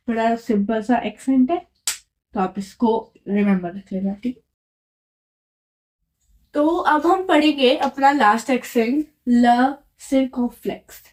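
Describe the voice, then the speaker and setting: A woman's voice: on the high side, dark tone, low-quality recording. A woman teaching French, explaining patiently and often checking in with the listener. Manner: patient, repeats and checks in with "right?". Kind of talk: explaining a lesson at a whiteboard.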